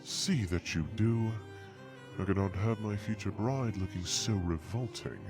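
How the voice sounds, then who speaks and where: A man's voice: lowish voice, unhurried. A man playing a haughty, condescending dragon noble in an audio drama, speaking in a pompous, mock-polite drawl. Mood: condescending, disgusted. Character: haughty, condescending regal male dragon, princess's fiancé. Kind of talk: audio roleplay drama acting. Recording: music behind the voice.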